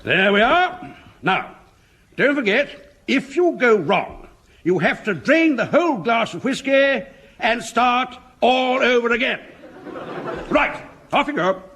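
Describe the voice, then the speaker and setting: High-pitched jovial old British officer. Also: male voice, big pitch swings, slow pace, pauses often, fairly bright tone, husky, exaggerated voice. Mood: merry bossiness. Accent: upper-class English. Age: older. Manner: chortling, hearty, instructing with relish. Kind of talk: vintage radio sitcom acting. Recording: noisy old radio recording.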